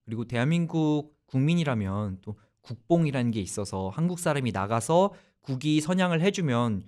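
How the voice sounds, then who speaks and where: A man hosting a radio sports talk show, explaining at length in a loose, animated conversational way. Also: mid-range voice, normal speed.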